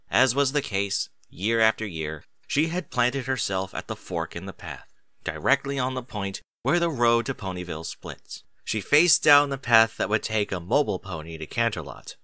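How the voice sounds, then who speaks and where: A man reading a scripted story as a narrator, in an expressive and deliberate dramatic style. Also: lowish voice, dry recording. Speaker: narrator of fan fiction audiobook.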